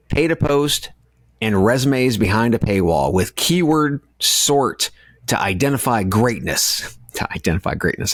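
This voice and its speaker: Male podcast co-host, mid-pitched, casual and jokey, recorded dry with very little room sound. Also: dry recording. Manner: irreverent, jokey.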